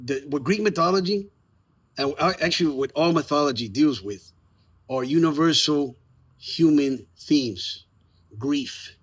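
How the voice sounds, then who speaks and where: A man's voice: fairly high pitch, a little slow, breathy edge. Informal, emphatic man chatting casually. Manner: informal, emphatic, lightly profane. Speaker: playwright and theater maker promoting a play. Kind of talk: casual promotional chat. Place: outdoors in the evening.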